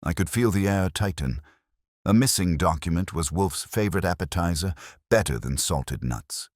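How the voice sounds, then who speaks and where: A man's voice: low-pitched, animated, moderate pace, a little mellow, no echo, clean studio sound. A man narrating a noir detective story in a wry, hard-boiled way. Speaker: audiobook narrator. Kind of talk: scripted first-person noir detective narration.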